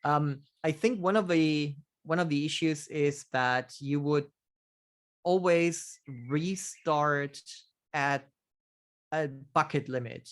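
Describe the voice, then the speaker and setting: A man hosting a casual technical question-and-answer call, halting, with many fillers and restarts. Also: a little high. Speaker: host of community office hours.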